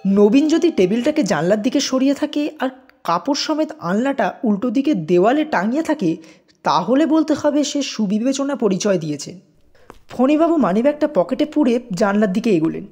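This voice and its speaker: A woman's voice: a little high, lively intonation, brisk, warm tone, husky. A woman narrating a scripted audio story in a dramatic, suspenseful way. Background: music under the voice.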